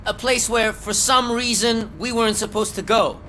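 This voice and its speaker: An actress playing an outspoken, confrontational police officer in a video game, speaking with heavy emphasis. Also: female voice, on the high side, normal speed, sharp tone, slight background noise. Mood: sarcastic.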